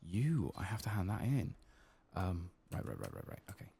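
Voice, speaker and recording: Soft spoken asmr gamer guy, really low voice, lots of vocal fry, murmuring to himself close to the mic. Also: huge pitch swings, moderate pace. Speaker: gameplay streamer.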